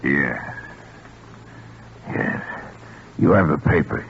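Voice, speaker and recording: An actor playing an obsessed, scheming scientist in a 1940s radio suspense drama, speaking in an intense, halting way. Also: male voice, rumbling low, lively intonation, full character voice. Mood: hesitant, furtive. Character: obsessed male scientist doctor, evasive, scheming husband. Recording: muffled, noisy 1940s radio recording.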